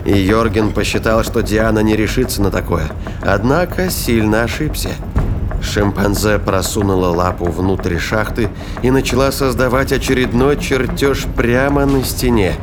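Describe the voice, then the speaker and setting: A man's voice: fairly low pitch, normal speed, background noise. A man narrating a science fiction audio drama in a scripted, dramatic storytelling style. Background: prominent music bed.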